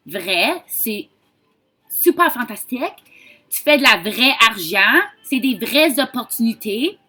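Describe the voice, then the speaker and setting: A woman from Ontario with a Franco-Ontarian French accent, giving a chatty, informal sales pitch and mixing in English words. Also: sharp tone. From Ontario, Canada. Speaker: direct-sales consultant. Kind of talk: casual sales pitch to camera.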